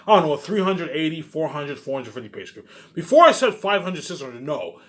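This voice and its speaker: A man's voice: fairly high pitch, very animated, a little fast, fairly bright tone. A man giving a rambling, informal monologue in a boastful, repetitive, emphatic way, swearing now and then. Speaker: vlogger.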